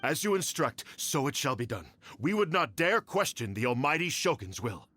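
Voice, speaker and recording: A humble court official. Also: male voice, mid-range voice, big pitch swings, normal speed, pushed and bright. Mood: deferential. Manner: submissive, formal. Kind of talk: video game voice acting.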